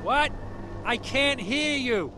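A man playing a dazed, half-deafened crash survivor, confused and loud, in a video game cutscene. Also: very high voice, lively intonation, slow, full character voice. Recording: clear background noise.

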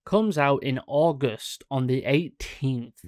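Young male horror host, unhurried and rambling, smooth clear voice, dry close mic. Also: mid-range voice, a little mellow, no echo. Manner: rambling, self-correcting, many fillers. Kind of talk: casual reaction commentary. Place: small room indoors at a desk. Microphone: studio mic on stand in front.

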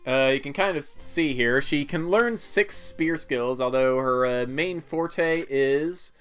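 A man's voice: a little high, takes it slowly, fairly bright tone, smooth. A man giving casual, offhand video game commentary with wry asides. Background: game music.

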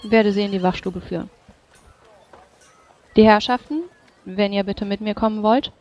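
Woman, tabletop role-player, creaky vocal fry, describing a character, slightly dull lo-fi sound. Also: medium pitch, steady tone, moderate pace. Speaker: role-playing game player. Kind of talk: describing her game character.

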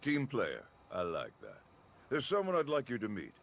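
A man's voice: medium pitch, huge pitch swings, normal speed, gravelly, exaggerated voice. An authoritative corporate security boss for a video game. His medium voice moves through a very wide range, with clipped, dry phrasing and firm authority. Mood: brisk, approving. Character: smooth, no-nonsense male security company chief. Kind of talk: video game cutscene dialogue.

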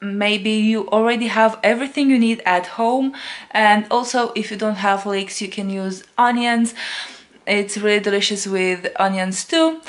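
A woman in her thirties talking casually as a cooking vlogger, recorded indoors at home by a camera a short distance away. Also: mid-range voice. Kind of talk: casual recipe vlog.

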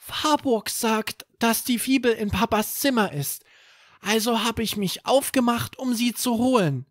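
A man's voice: very high-pitched, measured pace, dry recording, clean studio sound, voice pushed up. A man voicing a small, earnest, secretive stuffed toy in a storybook tale.

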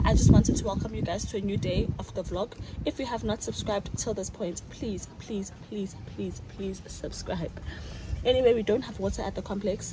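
A woman's voice: medium pitch, very noisy. A young woman, chatting casually to a phone camera as a vlogger while running errands, laughing often. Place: car and shops, out and about.

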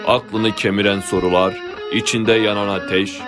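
A man playing the solemn storyteller of an epic cartoon legend, narrating with measured dramatic phrasing. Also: fairly low pitch, flat delivery, natural voice. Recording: clearly audible music behind narration.

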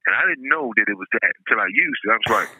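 A man's voice: fairly low pitch, expressive, racing, breathy edge. A man calling in by telephone, talking casually and reflectively in a philosophical way, repeating himself for emphasis. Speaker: guest calling by phone. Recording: tinny, echoey telephone line.

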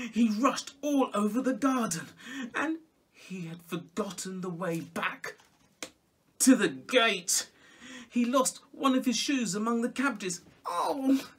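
A middle-aged man reading a children's story expressively and theatrically, with dramatic pauses and exclamations. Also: high-pitched, pushed and bright, husky. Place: small music room at home, indoors.

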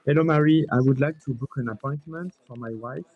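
A man's voice: medium pitch, fairly quick, highs cut off. A middle-aged man, a native French speaker with a French accent, presenting and talking casually in a demo. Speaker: presenter and caller. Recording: clear background noise.

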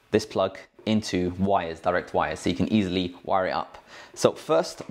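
A man's voice: fairly low pitch, darkish tone, a little hiss or hum. A man hosting a tech tutorial in a casual, informal, slangy way, explaining things step by step. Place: indoors at a cluttered work desk.

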